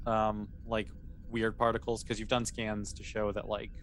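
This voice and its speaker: A man running a tabletop sci-fi game as game master, improvising narration and explaining in an informal, halting way with self-corrections. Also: on the low side, monotone, fairly bright tone. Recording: clearly noisy recording.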